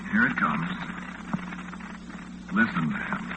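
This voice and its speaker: An actor playing a bewildered, harried late-night disc jockey in a flustered, exasperated 1940s radio drama style. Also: male voice, low voice, takes it slowly. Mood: weary, apologetic. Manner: flustered, exasperated, muttering to himself. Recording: noisy lo-fi old radio recording.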